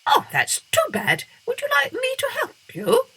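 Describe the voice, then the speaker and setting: A woman doing a chirpy, high little bat. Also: big pitch swings, normal speed, vocal fry, fairly bright tone, full character voice. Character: odd, cheerful little bat.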